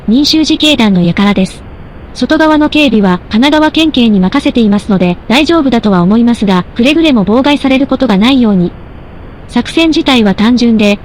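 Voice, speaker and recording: A woman's voice: a little high, slight background noise, natural voice, poor audio. A woman playing a strict commander, formal and controlled, as if briefing an operation. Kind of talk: formal briefing in audio drama.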